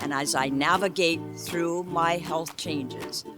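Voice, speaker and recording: Low-voiced woman giving an ad testimonial. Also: steady tone, slow pace. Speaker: ad testimonial speaker. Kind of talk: scripted testimonial read. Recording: music bed under voice.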